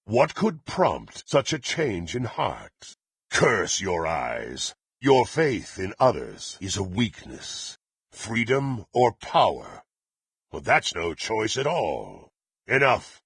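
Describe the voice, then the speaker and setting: An actor playing a menacing, proud and violent blackguard warrior in a fantasy video game, with a gravelly, raspy voice and haughty, threatening, formal delivery. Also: male voice, on the low side, huge pitch swings, slow pace, a bit bright, no room sound, studio quality, heavily acted. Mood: haughty, brooding, then furious, murderous. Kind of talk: theatrical fantasy game voice acting.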